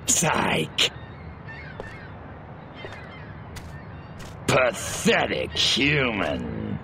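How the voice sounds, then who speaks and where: Cocky little alien invader. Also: male voice, on the low side, huge pitch swings, very slow, gravelly, background noise, exaggerated voice. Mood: scornful. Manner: mocking, wisecracking. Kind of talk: cartoonish video game cutscene acting.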